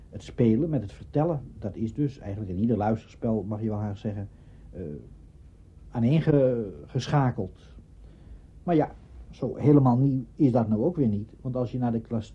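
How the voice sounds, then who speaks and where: Male interviewee, very husky, explaining in a scholarly way on a dull archival recording. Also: medium pitch.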